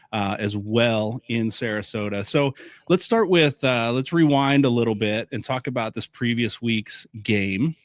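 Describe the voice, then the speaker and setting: A man's voice: mid-range voice, no echo, studio quality. Upbeat man hosting a soccer podcast. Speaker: podcast host. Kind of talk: scripted intro then casual podcast chat.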